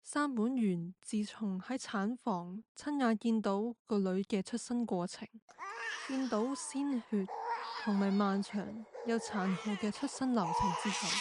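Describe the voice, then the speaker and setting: A woman's voice: mid-range voice, darkish tone. A woman narrating a scripted radio drama.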